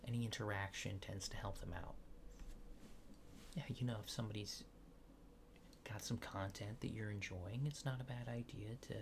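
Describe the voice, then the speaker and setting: A man doing soft-spoken, partly whispered ASMR, playing a laid-back alien abductor asking casual, hesitant survey questions in a deadpan way. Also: lowish voice, normal speed, long pauses, noisy background. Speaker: ASMR creator.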